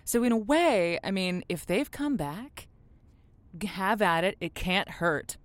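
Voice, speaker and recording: A woman playing an affectionate, encouraging call-in advice host, folksy and halting, in a semi-improvised audio drama. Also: mid-range voice, animated, dry recording, close to an everyday voice. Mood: awkward, encouraging. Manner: folksy, halting, reassuring, pet names. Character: encouraging, affectionate female lonely-hearts radio show host.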